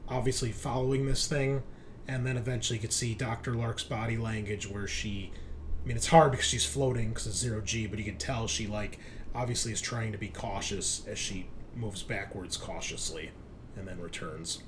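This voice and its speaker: A man running a tabletop roleplaying game as game master, narrating in an improvised, deliberate way with pauses. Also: fairly low pitch, steady tone, background noise.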